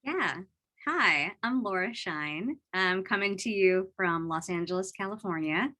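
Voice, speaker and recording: A woman's voice: mid-range voice, lively intonation, measured pace, bright, forceful tone. A woman in her thirties from Chicago, a musical theatre writer, talking eagerly and chattily on a video call. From Chicago, USA. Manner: eager, chatty, frequent "you know". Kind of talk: panel talk on a video call.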